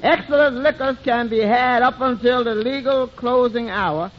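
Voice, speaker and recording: An actor playing a wry, doubtful, reluctant tavern waiter, hesitant and drawn-out, in 1940s radio comedy style. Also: male voice, very high voice. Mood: amused skepticism. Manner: hesitant, drawn-out, wryly skeptical delivery. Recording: muffled 1940s radio recording, faint noise.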